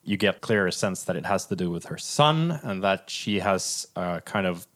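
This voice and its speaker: Low-pitched man reflecting on film. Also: animated, normal speed, a bit bright. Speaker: podcast co-host. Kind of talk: casual film discussion.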